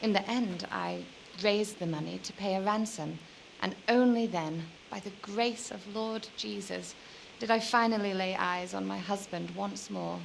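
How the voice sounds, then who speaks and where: An actress playing a weary, lonely young medieval noblewoman in a video game, speaking in a measured, formal and wistful way. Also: medium pitch, creaky, slight background noise, poor audio. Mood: sorrowful. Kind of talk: dramatic video game dialogue.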